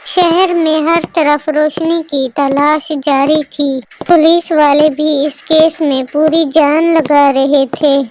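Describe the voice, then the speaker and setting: A woman's voice: high-pitched, fairly even intonation, slow, a little mellow, poor audio. A woman narrating a cartoon story in a scripted storybook style, with music behind her.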